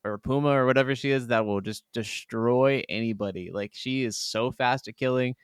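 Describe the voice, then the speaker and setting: A man's voice: medium pitch, normal speed, smooth, no echo, studio quality. A man chatting casually and emphatically about video games as a podcast co-host, swearing freely. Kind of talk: casual gaming chat.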